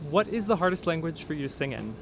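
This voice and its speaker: A man interviewing a guest, asking short questions with quick, upbeat reactions. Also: fairly high pitch, big pitch swings, fairly bright tone, poor audio. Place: outdoors on a city street. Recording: outdoor street noise.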